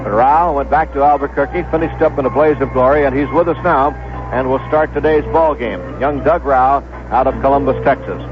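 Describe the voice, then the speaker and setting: An American man calling baseball on 1970s radio in a measured announcing manner, repeating names for clarity. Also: medium pitch, over music. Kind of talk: 1970s radio sports commentary, pregame lineups. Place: stadium broadcast booth. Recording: dull lo-fi vintage radio broadcast.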